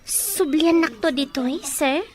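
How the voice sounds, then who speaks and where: Woman acting high-voiced, naive young Filipina. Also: unhurried. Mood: wary, earnest. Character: poor young woman, naive, wary, earnest. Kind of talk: audio drama dialogue.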